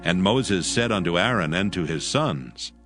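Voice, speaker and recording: A man with a fairly low voice narrates scripture solemnly. His phrasing is measured and formal, and prominent music plays behind him. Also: expressive, fairly bright tone.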